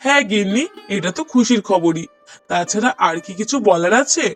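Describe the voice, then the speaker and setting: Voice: medium pitch, animated, moderate pace, soft background music, close to an everyday voice. A performer voicing a brooding, sarcastic husband and father in a moral-story cartoon, with pointed rhetorical questioning. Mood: unimpressed.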